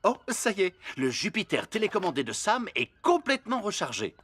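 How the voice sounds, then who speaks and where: An actor voicing an overconfident, cheerful dad who tinkers with electrics in a cartoon. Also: male voice, high voice, sing-song, a little fast, fairly bright tone, a little hiss or hum. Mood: breezy. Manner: know-it-all, breezy.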